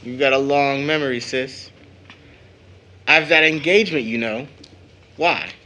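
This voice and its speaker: A man playing a young brother and son in an audio drama, speaking in a measured, earnest, slightly wry way. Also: mid-range voice, sharp tone. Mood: teasing, wry. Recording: noticeable background noise.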